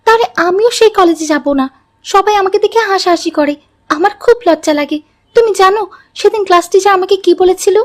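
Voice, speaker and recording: A woman's voice: very high voice, brisk. A woman voicing an earnest, stubborn teenage college girl in a moral-tale cartoon, speaking in careful, formal sentences. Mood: defiant.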